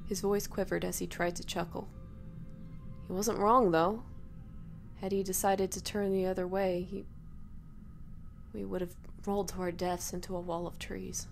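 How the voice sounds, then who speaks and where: A woman reading a first-person horror story in a dramatic, emotive, breathy delivery. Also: medium pitch, flat delivery, normal speed, pauses often, faint music behind.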